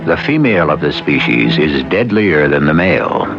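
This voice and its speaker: A man hosting a radio mystery drama with measured, literary, theatrical narration. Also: rumbling low, very animated, muffled recording. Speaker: host and narrator. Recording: band-limited old radio broadcast with music.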